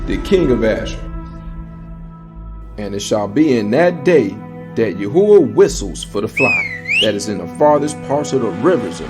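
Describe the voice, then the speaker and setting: A man narrating a dramatized Bible reading in a solemn, declamatory and emphatic manner. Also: medium pitch. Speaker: scripture narrator. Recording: echoing voice over background music. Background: background music.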